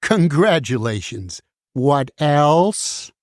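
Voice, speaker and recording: Actor as a dramatic, urgent banned book puppet, swinging pitch. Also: very animated, very slow, no room sound, clean studio sound. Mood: probing, dry. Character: alarmed, bitter burned banned book, puppet character. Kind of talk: theatrical musical puppet show acting with singing.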